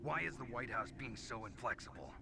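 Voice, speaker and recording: An actor playing a gruff, brooding covert soldier in a video game, speaking tersely with a husky, gravelly voice. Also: male voice, medium pitch, expressive, brisk, background noise. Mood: suspicious.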